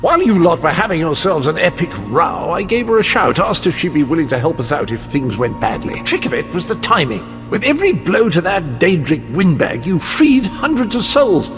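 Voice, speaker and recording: Casting: foppish British gentleman trickster, game; very animated, high register, breezy and flippant politeness. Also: moderate pace, few pauses. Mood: chummy, boastful. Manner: chirpy, flippant, posh politeness. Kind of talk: video game character acting. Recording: hall reverb, music behind.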